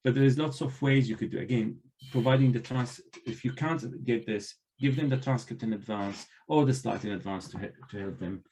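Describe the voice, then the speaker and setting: Earnest non-native man, halting speech. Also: medium pitch, mellow, poor audio. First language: not English. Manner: earnest, halting, many restarts. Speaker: librarian trainer. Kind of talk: online panel discussion.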